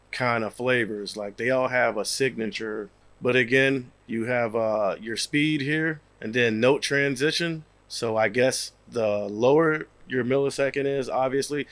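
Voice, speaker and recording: A man in his thirties with a slightly raspy, worn voice, casually explaining software in a home studio over a headset mic, with lots of fillers. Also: medium pitch, unhurried, dry recording. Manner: relaxed, rambling, many fillers. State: losing his voice, a bit raspy. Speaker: music producer. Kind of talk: casual screen-share tutorial.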